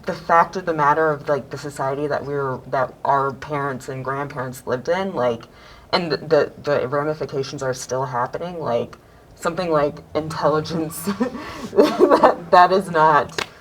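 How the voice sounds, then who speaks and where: Low-voiced young woman, faint background noise. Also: normal speed, rough recording. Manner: earnest, many fillers, rises when excited. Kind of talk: casual panel discussion.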